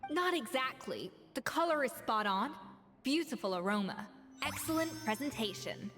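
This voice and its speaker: Exacting young female chef: high, haughty, cutting, lively intonation, game dialogue with reverb. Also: normal speed, soft background music. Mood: measured approval. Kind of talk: video game voice acting.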